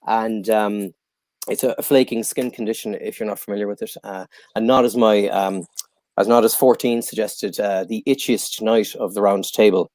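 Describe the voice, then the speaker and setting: Man, stand-up comic, deadpan, lots of ums and uhs, very dry sound. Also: on the low side, no echo. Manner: halting, full of ums and uhs, self-deprecating. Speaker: stand-up comedian. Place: at home, on a live online show.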